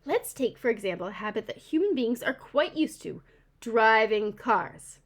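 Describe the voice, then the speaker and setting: Lady doing a sassy mama opossum from a kids book, super indignant n argumentative, loud high voice all over the place. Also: animated, normal speed. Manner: emphatic, argumentative rhetorical questioning. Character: indignant sassy mother opossum, defending her kind.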